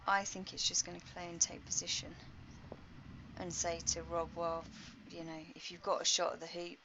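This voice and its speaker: A woman's voice: lowish voice, little pitch movement, moderate pace. A woman giving chatty, informal live sports commentary with colloquial exclamations. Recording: dull lo-fi stream with background noise.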